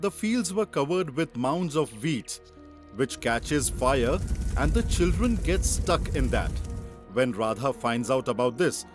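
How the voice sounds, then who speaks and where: A man's voice: medium pitch, expressive, measured pace, music in the background. A man narrating a children's story as a scripted read.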